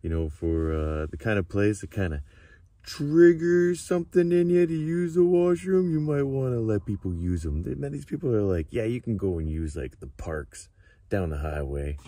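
A man in his thirties talking casually to a handheld camera about his travels, chatty and relaxed, full of fillers. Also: lowish voice, normal speed, dry recording. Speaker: travel vlogger. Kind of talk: casual vlog talk to camera. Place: inside an SUV and outdoors by a coastal beach. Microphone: handheld phone or camera at arm's length.

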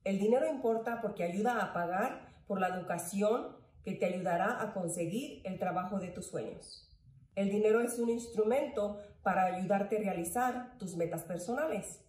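A woman's voice: medium pitch, normal speed, a little mellow. A woman in her thirties giving an educational presentation to camera from a room at home. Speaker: employee volunteer presenter. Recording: echoey room, distant mic.

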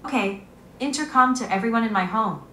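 A woman's voice: a little high, lively intonation, fairly quick, fairly bright tone, echoey room. A synthetic woman's voice assistant giving short replies, played through a smart speaker in a room.

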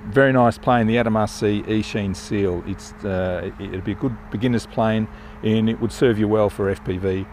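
An older man flying a model plane in an open field outdoors, giving relaxed, enthusiastic commentary as he flies. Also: lowish voice, moderate pace, hardly any pauses, slight background noise. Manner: relaxed, enthusiastic, thinking aloud while flying. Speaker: RC pilot reviewing a plane. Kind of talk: casual live flight commentary.

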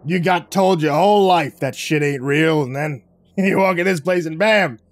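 A man voicing a loud, chummy male boss who talks in a booming, casual way. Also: normal speed, dry recording, close to an everyday voice. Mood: breezy. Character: loud breezy male boss over a speaker.

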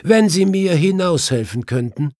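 An assured male detective from a crime audiobook, acted by a man. He is courteous and decisive, with a somewhat raised, lively voice in a dry studio sound. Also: fairly high pitch, unhurried, dry recording, voice pushed up. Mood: amiable.

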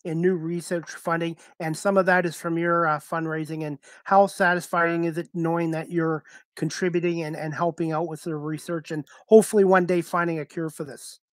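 A man's voice: fairly high pitch, flat delivery, normal speed, dry recording. Middle-aged man interviewing, dry sound. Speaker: talk show host interviewer. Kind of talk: hesitant, informal interview questions. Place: indoors on a video call.

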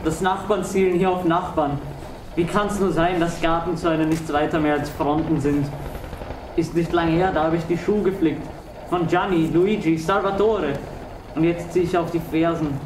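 A man's voice: a little high, fairly even intonation, normal speed, close to an everyday voice. A man performing a troubled, plaintive father, a humble cobbler caught in war, in dramatic opera style. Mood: anguished. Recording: echoey, distant, noisy.

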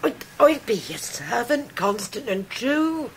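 An actress playing a forlorn, devoted elderly widow in a radio drama, plaintive and beseeching. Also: female voice, on the high side, very animated, takes it slowly, a little hiss or hum, exaggerated voice. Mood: pleading. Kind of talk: 1990s radio drama acting.

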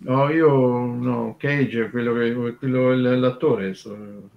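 Male, mid pitch. Informal radio expert, discursive and halting, with emphatic key words. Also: fairly even intonation, gravelly, lo-fi sound. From Terni, Italy.

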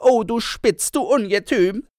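An outraged village housewife, acted by a man, scolds at a shrill, very high pitch. She exclaims loudly and angrily, in the exaggerated manner of a comic storybook. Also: measured pace, no room sound, clean studio sound. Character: furious, scolding housewife, widow in a comic tale.

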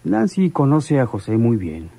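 Mellow, deferential male henchman. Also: medium pitch, sing-song, measured pace, vocal fry, heavily acted. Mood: deferential, explaining. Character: deferential male henchman reporting to his boss. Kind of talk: radio drama acting.

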